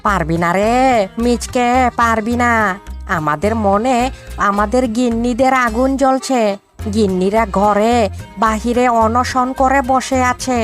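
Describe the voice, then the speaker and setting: Woman acting a male monkey husband, cartoon, high voice, blustering, grumbling, exclamatory, light music. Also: measured pace, close to an everyday voice. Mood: miserable. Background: background music.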